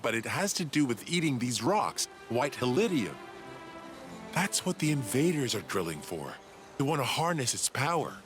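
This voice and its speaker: A man voicing an eager, cocky young alien inventor who explains things condescendingly in a video game. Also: medium pitch. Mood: smug. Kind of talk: video game cutscene dialogue. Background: background music.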